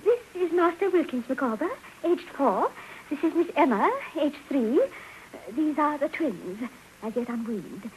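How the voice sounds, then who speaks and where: A woman's voice: sits high, lively intonation, unhurried, darkish tone. An actress playing a long-suffering, talkative English wife and mother in a radio drama, fussily genteel and rambling. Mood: genteel, plaintive. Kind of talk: 1950s radio drama acting. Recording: faintly noisy old radio recording.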